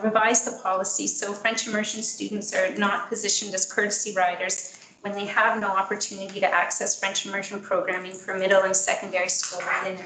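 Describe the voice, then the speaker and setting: Woman, soft voice, earnest parent statement, echoey room, faint hiss. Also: mid-range voice. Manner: earnest, measured, with frequent ums. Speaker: parent speaking at public meeting. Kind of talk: prepared public statement. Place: school board meeting room.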